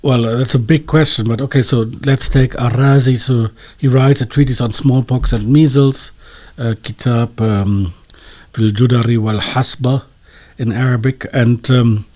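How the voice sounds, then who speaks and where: A man's voice: medium pitch, steady tone, normal speed, a little mellow. A man speaking as an expert interview guest, explaining in a hesitant, scholarly way and searching for his words.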